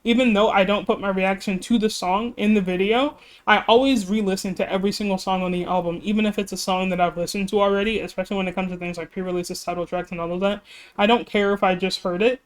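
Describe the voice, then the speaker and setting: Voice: mid-range voice, fairly even intonation, fast talker. A music reaction YouTuber chatting casually and rambling, laughing often and apologizing, while slightly losing their voice. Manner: self-deprecating, apologetic. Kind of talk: casual reaction video chat.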